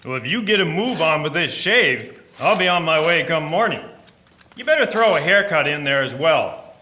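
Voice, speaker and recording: A man playing a gruff, impatient nineteenth-century sea captain in a radio drama. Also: fairly high pitch, expressive, normal speed, pushed and bright. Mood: brisk. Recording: roomy, faintly noisy drama recording.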